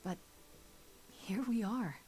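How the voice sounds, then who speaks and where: An actress playing a frank, inquisitive young muse in a story game, with natural, wry delivery. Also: female voice, medium pitch, takes it slowly, warm tone, lightly acted, poor audio. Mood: thoughtful. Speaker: voice actor. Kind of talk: casual, natural game dialogue.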